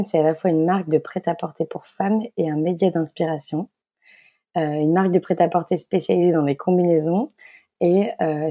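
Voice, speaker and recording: Mellow-voiced young Belgian woman. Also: fairly low pitch. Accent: Belgian French. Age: 20s. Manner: chatty, self-correcting, laughs at herself. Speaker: guest, fashion brand founder. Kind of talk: conversational podcast interview.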